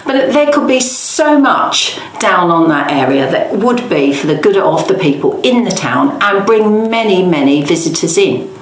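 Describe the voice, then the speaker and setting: A middle-aged woman answers interview questions in a low-pitched voice. She is opinionated and emphatic, piling up points in long conversational runs. The room around her adds audible echo. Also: very animated, unhurried, hardly any pauses, a little hiss or hum. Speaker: local campaigner and interviewee.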